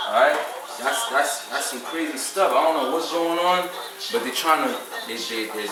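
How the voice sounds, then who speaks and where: Preachy man in an echoey room. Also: mid-range voice. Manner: loose, repetitive, preachy, swears freely. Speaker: vegan podcast host. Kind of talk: casual rambling talk. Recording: roomy echo, mic at a distance.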